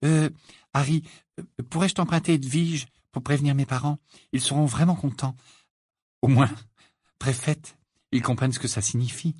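A man's voice: medium pitch, fairly even intonation, moderate pace, long pauses, darkish tone, dry recording, clean studio sound, voice pushed up, natural voice. A man reading an audiobook voices an earnest, bossy teenage schoolgirl who scolds readily. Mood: timid.

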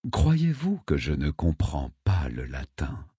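A man's voice: extremely low pitch, sing-song, slow, a little mellow, slightly rough, no echo, studio quality, exaggerated voice. A man voicing a cruel, coldly superior inhuman counselor in an audiobook, with sarcastic, disdainful delivery. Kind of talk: audiobook character acting.

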